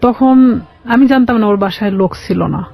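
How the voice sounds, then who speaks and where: A woman with a Bangladeshi accent telling a personal story as a radio guest, halting and repeating phrases as she explains. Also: a little high, vocal fry, a little mellow. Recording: slightly dull, lo-fi radio sound.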